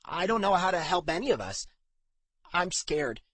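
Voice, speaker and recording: A man acts out an anxious young pig farmer in a fantasy audiobook. He sounds earnest and self-doubting, pitched well above a usual male voice. The recording is dry and close. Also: very animated, normal speed, fairly bright tone, no room sound, exaggerated voice. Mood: scared. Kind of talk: audiobook character dialogue.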